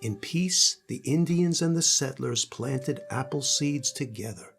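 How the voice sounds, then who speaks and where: A man's voice: medium pitch, expressive, a little slow, vocal fry, faint music behind. An older man, a grandfather, narrating a children's story.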